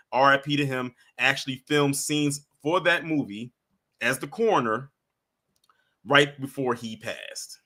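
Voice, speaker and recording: Easygoing guy chatting on his live stream, bright voice, medium pitch, chuckles a lot. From US East Coast. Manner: laid-back, chuckles often, trails off and restarts. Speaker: livestream host. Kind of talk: casual livestream chat reading viewer comments.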